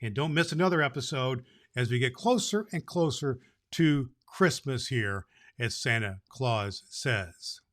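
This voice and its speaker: A man playing Santa Claus, a jolly old man who reads storybook tales to children and hosts the show in an animated manner. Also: unhurried. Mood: cheerful hosting. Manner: jolly, animated, booming ho-ho laughs. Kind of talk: storybook reading and address to children.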